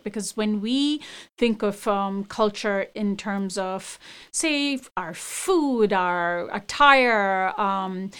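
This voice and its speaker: A woman hosts the interview, asking her guest measured and engaged questions. Her voice is smooth and resonant, at an ordinary middle pitch for a woman. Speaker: interviewer, host.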